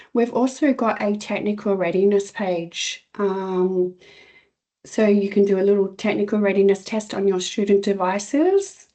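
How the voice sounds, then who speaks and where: An Australian woman with a slightly croaky voice, the kind a cough leaves behind. She talks through a website step by step in a steady, instructional webinar manner, with a scattering of "um"s along the way. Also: medium pitch. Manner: steady instructional, many fillers. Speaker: assessment consultant.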